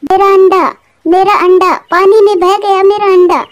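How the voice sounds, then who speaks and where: A woman voicing an emotional, gentle female bird in a cartoon story, with a plaintive, expressive delivery. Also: very high-pitched, moderate pace, no room sound. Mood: distressed. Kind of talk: Hindi cartoon story dialogue.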